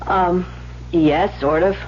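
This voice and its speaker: An actress playing a shy, lonely, devoted assistant in love in an old radio drama, with a hesitant, earnest delivery. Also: female voice, on the low side, fairly even intonation, slow pace, a little mellow. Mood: shy, flustered. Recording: dull, hissy vintage radio recording with hum.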